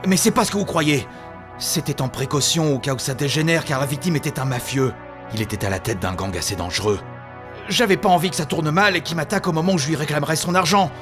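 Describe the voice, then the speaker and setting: A touchy male banker, played by a man with a highish, animated voice. He is a naive suspect in an audio comedy, polite and indignant by turns, over clearly audible music. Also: quick pace, lightly acted. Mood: nervous. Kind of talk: comedic audio drama acting.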